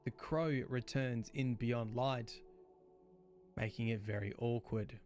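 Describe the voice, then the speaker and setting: A man reading scripted explainer narration in a clear, expository way. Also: mid-range voice, fairly even intonation, slow pace, darkish tone. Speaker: lore video narrator. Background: background music.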